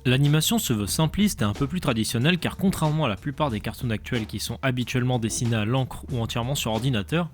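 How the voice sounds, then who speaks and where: A man's voice: mid-range voice, quick pace, few pauses, a little hiss or hum. A young adult man delivering scripted narration as the narrator of a cartoon review show. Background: background music.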